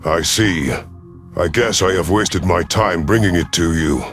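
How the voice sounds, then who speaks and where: A man voicing a proud, vengeful elderly alien reptilian commander in an audio drama, speaking in a formal, measured, sardonic way. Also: deep voice, a bit bright, faint music behind. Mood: sardonic, wary. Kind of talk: audio drama first-person narration and dialogue.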